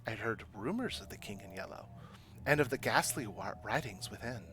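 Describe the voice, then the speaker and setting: A man's voice: lowish voice, lively intonation, brisk, fairly bright tone, a little hiss or hum. A man voicing an awkward, sardonic young woman who narrates her visual novel adventure in a self-deprecating way. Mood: doubtful.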